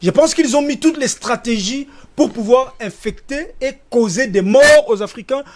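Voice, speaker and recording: A man's voice: very high-pitched, moderate pace. A man from Congo speaking as a panelist in a televised debate, stumbling over repeated words as he argues. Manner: hesitant, repeats words, animated.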